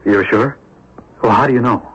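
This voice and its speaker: A man's voice: mid-range voice, moderate pace, a bit bright, breathy edge. A man acting in a radio drama as an apologetic, eager Civil War collector, speaking hesitantly and earnestly. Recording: dull lo-fi radio broadcast recording.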